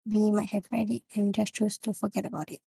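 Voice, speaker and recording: A young woman with a Singaporean English accent, casually discussing a book with friends and often pausing to find her words. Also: mid-range voice, flat delivery, vocal fry, warm tone, breathy edge, dry recording. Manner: halting, searching for words. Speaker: book club member.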